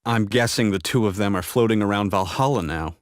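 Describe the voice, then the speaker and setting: A man's voice: fairly low pitch, brisk, no room sound, clean studio sound, natural voice. Guy voicing a brash young fantasy fighter for a game cutscene, blunt n emotional, determined type. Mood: somber, weary. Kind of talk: video game cutscene voice acting.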